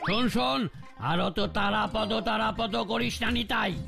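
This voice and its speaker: A man voicing a bossy, easily exasperated older gentleman coach in an animated cartoon. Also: high voice, normal speed, vocal fry, music in the background. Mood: earnest, insistent. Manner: bossy, scolding, repeats words when flustered.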